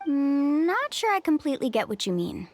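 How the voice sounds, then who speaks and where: An actress playing an upbeat, caring young tour guide in a video game, friendly, earnest and reassuring. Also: female voice, high-pitched, big pitch swings, normal speed, slight background noise. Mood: easygoing, polite. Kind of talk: video game character dialogue.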